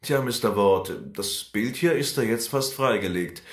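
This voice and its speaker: A man's voice: mid-range voice, a little slow, roomy sound, close to an everyday voice. An actor playing a down-to-earth workman in a radio drama, casual and unimpressed by old things. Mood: impatient, dismissive. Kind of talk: German radio drama acting.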